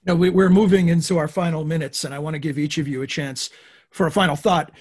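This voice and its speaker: A man's voice: on the high side, fairly even intonation, quick pace, slightly rough. A man hosting a podcast panel, asking conversational, probing questions. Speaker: podcast host. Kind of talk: moderating a panel discussion.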